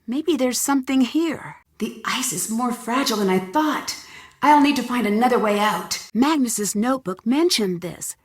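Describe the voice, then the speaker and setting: Curious young woman sleuth, adventure game, animated intonation, plucky, inquisitive self-talk, very dry close sound. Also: fairly high pitch, normal speed, breathy edge, no room sound. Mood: curious, musing. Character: curious, plucky young female amateur detective. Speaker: video game protagonist. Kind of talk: scripted adventure game voice acting, talking to herself.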